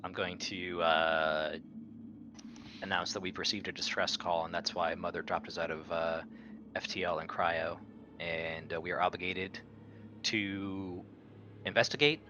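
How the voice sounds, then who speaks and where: A man playing a firm, dutiful space freighter captain in a tabletop game, authoritative but halting. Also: low-pitched, fairly even intonation, muffled recording, background noise. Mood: firm, commanding.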